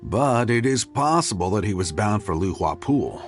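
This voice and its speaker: Guy doing a dutiful friendly guard, low voice, video game. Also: animated, normal speed, faint music behind, lightly acted. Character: dutiful, kindly male guard reporting on search.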